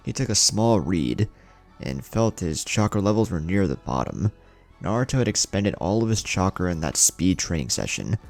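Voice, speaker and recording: Deep, gravelly male story narrator. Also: very animated, moderate pace, faint music behind. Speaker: channel host and narrator. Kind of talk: fan fiction audiobook narration.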